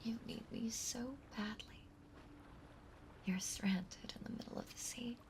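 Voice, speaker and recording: A woman playing a possessive, clingy mermaid in an intimate ASMR roleplay, speaking softly and breathily and lingering on her words. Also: on the high side, steady tone, a little slow, lightly acted. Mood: enraptured, then coaxing. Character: possessive, clingy yandere mermaid, sweetly obsessive young woman. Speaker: voice actress in a roleplay audio. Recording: faint background noise, water ambience. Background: ocean ambience sound effects.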